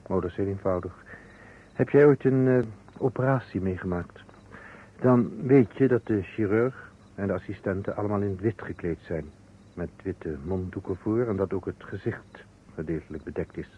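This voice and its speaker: An actor in an old Dutch radio play playing a weary surgeon, speaking in short, deliberate phrases. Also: male voice, low-pitched, slightly rough. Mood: grave, confessing. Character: weary male surgeon coolly confessing a false alibi. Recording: dull, noisy old radio recording.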